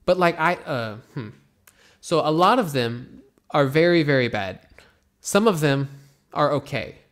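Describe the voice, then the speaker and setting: Young American teacher from Florida, laid-back Q&A delivery, a little fry in the voice. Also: male voice, fairly high pitch. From Florida, USA. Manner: relaxed, rambling, many ums and restarts. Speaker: English teacher answering viewer questions. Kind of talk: casual Q&A, reading comments aloud.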